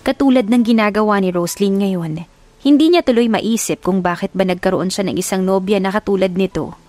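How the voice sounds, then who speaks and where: Female narrator for scripted romance audiobook, medium pitch, dramatic storytelling, dry studio-close sound. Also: a little fast, darkish tone, no echo.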